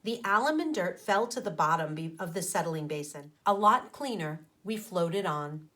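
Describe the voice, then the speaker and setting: A woman teacher reading a storybook and explaining to children in an expressive, emphatic way. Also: on the low side, normal speed. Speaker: teacher reading aloud to pupils.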